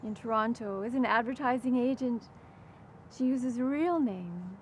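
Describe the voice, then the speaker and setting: An older woman hosting a literary interview, putting prepared questions and audience questions in a measured, articulate way. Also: fairly high pitch. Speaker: interviewer, event host. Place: outdoors in a rose garden. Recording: clear outdoor background noise.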